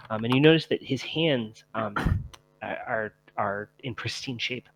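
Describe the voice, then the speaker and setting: A man narrating a tabletop roleplaying game as game master, halting and deliberate. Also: medium pitch, lo-fi sound.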